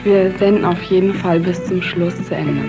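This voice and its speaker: Female station announcer, direct address, clear music bed under voice. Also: on the low side, steady tone, normal speed. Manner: direct, instructing listeners. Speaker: radio announcer. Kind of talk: pirate radio announcement.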